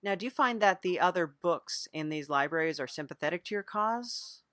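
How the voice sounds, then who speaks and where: A podcast interviewer improvising a mock interview in a deadpan, sympathetic and hesitant manner. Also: mid-range voice, moderate pace, very noisy.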